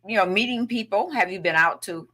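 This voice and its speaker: A woman's voice: mid-range voice, brisk, pushed and bright. A woman from Saginaw, Michigan, hosting a casual radio interview with an encouraging manner and frequent affirmations. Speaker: radio show host. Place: radio studio.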